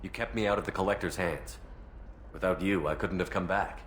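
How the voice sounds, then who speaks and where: Deep-voiced, steady game hero. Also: male voice, a little hiss or hum. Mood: supportive. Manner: direct, measured questioning. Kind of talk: video game dialogue acting.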